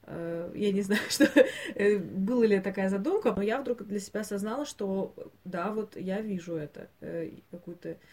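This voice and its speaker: A woman co-hosting a podcast, talking casually about animated films in a hesitant, thinking-aloud way, given to chuckling. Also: medium pitch, normal speed. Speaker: podcast co-host.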